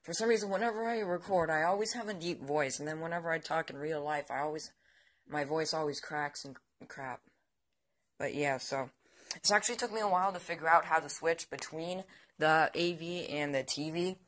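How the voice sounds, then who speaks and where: A man's voice: fairly high pitch, moderate pace, a bit bright. A young male, teenage or barely adult, giving a casual, unscripted show-and-tell in a hesitant, rambling way that often trails off. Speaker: amateur vlogger. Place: indoors at home, by the TV.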